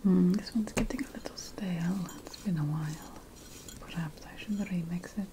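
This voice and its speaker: Ancient sorceress played by a woman, ASMR fantasy: low breathy whisper, deliberate and sly, faint noise behind. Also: close to an everyday voice. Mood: absorbed, muttering. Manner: slow, deliberate, with dramatic pauses. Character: ancient sorceress apothecary, musing, slyly ominous, instructing her apprentice.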